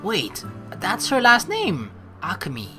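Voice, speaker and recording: A woman's voice: mid-range voice, wildly swooping pitch, takes it slowly, sharp tone, soft background music. A woman let's play streamer reading game text aloud and adding chatty commentary.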